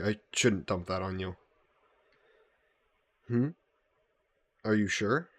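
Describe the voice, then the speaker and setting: A man voicing a gentle, modest aristocratic vampire in an intimate audio roleplay, speaking politely and formally with a wistful streak. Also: lowish voice. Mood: wistful, regretful. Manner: polite, formal, softly apologetic phrasing. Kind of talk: scripted one-sided audio roleplay, intimate and conversational.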